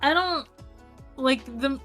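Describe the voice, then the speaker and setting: A woman's voice: high-pitched, slow pace, music in the background. A woman hosting a casual fan podcast, speaking informally and a little hesitantly, often trailing off. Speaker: podcast host.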